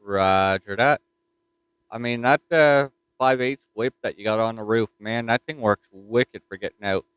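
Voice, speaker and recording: A man's voice: mid-range voice, measured pace, fairly bright tone, clear, resonant tone, low-quality recording. Folksy Newfoundland man on ham radio. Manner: chatty, folksy, restarts and filler words. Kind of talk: casual radio chat. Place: home radio shack. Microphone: desktop mic.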